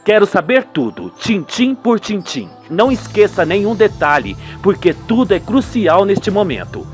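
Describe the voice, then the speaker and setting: A man's voice: sits high, big pitch swings, measured pace, faint music behind. A man voicing a formal, probing Brazilian police investigator in a radio soap opera, composed and determined. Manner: official, probing.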